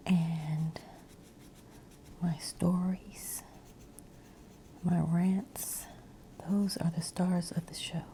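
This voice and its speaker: A woman ASMR creator rambling in a breathy whisper mixed with soft, hushed speech, meandering as she goes. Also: on the low side, slight background noise.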